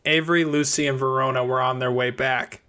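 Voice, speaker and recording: A man's voice: medium pitch, fairly even intonation, fairly quick, pushed and bright, smooth. A man reading a scripted fiction audiobook as its narrator. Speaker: audiobook reader.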